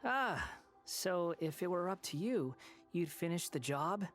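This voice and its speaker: A man's voice: high-pitched, big pitch swings, unhurried, a bit bright, full character voice. A man playing an arrogant, bitter young villain in a video game, speaking with sardonic taunts. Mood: taunting. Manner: sneering, sardonic. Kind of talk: English video game voice acting. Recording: game music behind the voice.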